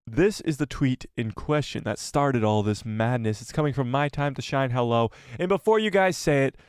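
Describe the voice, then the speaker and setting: A man hosting a live stream while fighting a cold, his voice worn and fading. He talks in an easy, chatty way and breaks into excited outbursts as he greets the chat. His voice sits at an ordinary pitch, in a dry, close sound with no room echo. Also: normal speed, clean tone. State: has a cold, losing his voice.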